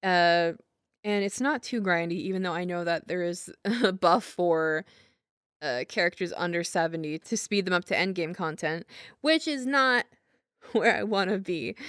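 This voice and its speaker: A woman's voice: fairly low pitch, a bit bright, dry recording, studio quality, close to an everyday voice. A woman playing an apologetic, affectionate young gamer girlfriend in an audio roleplay, chatting casually in a self-deprecating, giggly ramble. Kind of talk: girlfriend ASMR audio roleplay, casual improvised-sounding chat.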